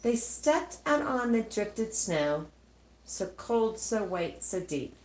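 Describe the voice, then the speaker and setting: Expressive woman storyteller, lively swooping pitch. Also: takes it slowly, roomy sound, a little hiss or hum, low-quality recording. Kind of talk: expressive read-aloud of a rhyming children's storybook.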